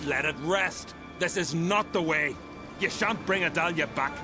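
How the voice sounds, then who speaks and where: An actor playing a reasonable, peace-minded creature in a game, with formal, old-fashioned phrasing. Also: male voice, on the high side, unhurried, close to an everyday voice. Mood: urgent. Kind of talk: video game cutscene acting. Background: music.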